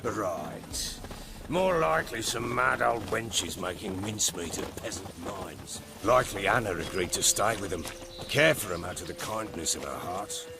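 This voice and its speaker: A man playing a rough, drunken, remorseful father in a video game, speaking in a heavy, wheedling, emotional way. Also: on the low side, expressive, a little slow, background noise. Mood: bitter, musing. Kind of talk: video game cutscene acting.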